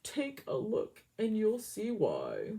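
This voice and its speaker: A woman voicing a gloomy, pouty male fish in a picture book read-aloud, in a slow, dreary sing-song. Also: medium pitch, wildly swooping pitch, creaky, warm tone, full character voice. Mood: glum.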